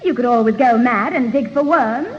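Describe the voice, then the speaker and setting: A woman's voice: on the high side, moderate pace, lightly acted. A young woman playing an indignant, bossy older sister scolding her brother in a radio sitcom. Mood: tetchy. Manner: scolding, tetchy. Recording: old radio recording, muffled, hissy, reverberant.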